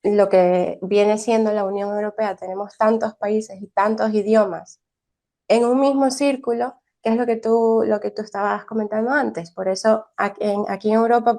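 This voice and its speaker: A woman's voice: mid-range voice, a little mellow. A young woman who teaches early childhood education, from Venezuela, answering interview questions thoughtfully with frequent restarts and tag questions.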